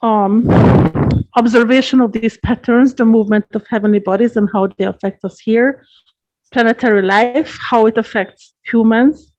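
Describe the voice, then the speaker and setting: Guest read: woman, earnest and measured, slightly high voice, dull lo-fi sound. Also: steady tone. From Hungary. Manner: earnest, measured, gently repeats words. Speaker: astrologer guest. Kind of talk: interview answers, explaining at length. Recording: dull lo-fi podcast audio.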